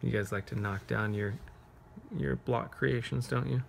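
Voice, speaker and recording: A man with a creaky, gravel-edged voice of middling pitch reads a picture book aloud at home. He moves between recited verse and casual questions for young listeners, gently encouraging them as he goes. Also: fairly even intonation, measured pace, a little hiss or hum. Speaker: parent reading aloud to children. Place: carpeted room at home, sitting on floor.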